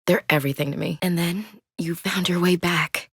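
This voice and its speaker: Tough young marine, low-pitched, blunt and dry, game voice work, dead-dry studio sound. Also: female voice, steady tone, moderate pace, a bit bright, slightly rough, no echo. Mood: steady. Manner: blunt, soldierly, dry wit. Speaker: squad soldier. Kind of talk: video game voice acting.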